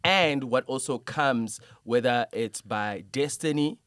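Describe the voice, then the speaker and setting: A man's voice: mid-range voice, takes it slowly, fairly bright tone, dry recording, clean studio sound. A man hosting a casual conversational interview in an encouraging, affirming manner. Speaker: interviewer, host.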